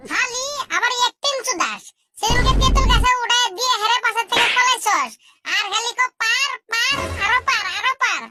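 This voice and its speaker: Cartoon casting: indignant little village boy, extremely high voice, loud music bed. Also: moderate pace. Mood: aggrieved. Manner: grumbling, indignant. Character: squeaky, aggrieved, quarrelsome little schoolboy. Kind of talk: comedy cartoon dialogue.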